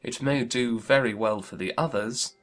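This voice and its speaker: A man playing an amiable, lightly teasing young gentleman in a dramatized period audiobook. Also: mid-range voice, moderate pace, faint music behind, close to an everyday voice. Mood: cheerful, teasing.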